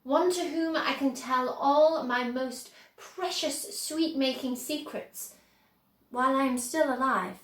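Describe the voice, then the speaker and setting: A woman's voice: high-pitched, slow pace, roomy sound, pitched above the performer's own voice. A woman voicing an eccentric, exuberant old man who owns a chocolate factory, speaking earnestly and emphatically in a storybook reading.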